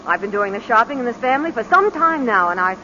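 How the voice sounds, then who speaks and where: An actress playing an eager, earnest young wife in a 1940s radio drama. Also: female voice, a little high, big pitch swings, fast, bright, forceful tone. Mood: indignant. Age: young adult. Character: eager young wife longing to adopt a child. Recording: muffled, hissy old radio broadcast.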